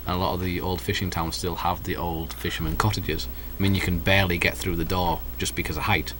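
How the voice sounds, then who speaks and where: A man's voice: sits low, level tone, fast, background noise. A young man from England with an English accent, chatting easily as a guest student and laughing often. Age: young adult. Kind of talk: casual radio chat.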